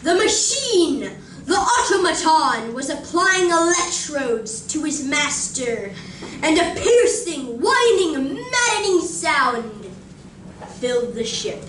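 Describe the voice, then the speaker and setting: In a roomy space, some way from the microphone, a woman narrates a live radio drama. Her high voice moves with animated intonation as she reads slowly and theatrically. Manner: deliberate, theatrical. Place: library community room, live performance. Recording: roomy distant recording, faint noise.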